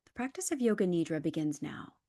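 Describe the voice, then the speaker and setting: A woman leading a guided relaxation as a yoga nidra instructor, calm and measured, with soft, breathy phrase endings. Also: on the low side, no room sound, studio quality. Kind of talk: guided meditation, scripted instructions.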